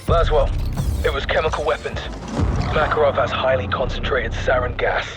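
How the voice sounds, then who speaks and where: An actor playing a focused special forces soldier in a game, direct and matter-of-fact. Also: male voice, mid-range voice, steady tone, normal speed, natural voice. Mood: focused, serious. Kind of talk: cinematic game acting. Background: dramatic music.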